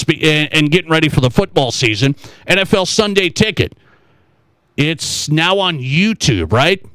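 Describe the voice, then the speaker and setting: A man hosting a sports talk radio show in an animated, conversational way, throwing questions at listeners and repeating phrases for emphasis. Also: on the high side, no room sound.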